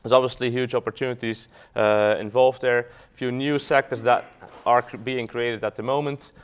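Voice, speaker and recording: A man's voice: medium pitch, fairly even intonation, moderate pace, clear, resonant tone. A man from Belgium, a fund manager giving a business talk to an audience, hesitant with frequent fillers and restarts.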